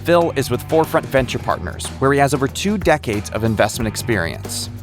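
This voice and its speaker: A man hosting and narrating a podcast, delivering scripted narration in a lively, conversational storytelling way. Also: medium pitch, a little fast, over loud music.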